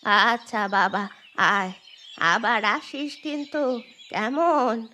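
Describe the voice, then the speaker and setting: A woman voicing a slow, frail old village granny. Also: a little high, pushed and bright, slight background noise, full character voice. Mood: tender, weary. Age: older. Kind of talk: cartoon voice acting.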